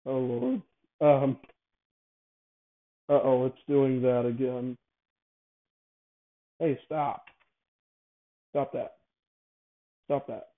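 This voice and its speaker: A man speaks over narrow, phone-like audio in a casual, unscripted monologue. He hosts in a halting way, often doubling back to correct or repeat his phrases. His pitch sits in an ordinary middle range. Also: steady tone. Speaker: show host. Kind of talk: casual improvised monologue, explaining a device idea.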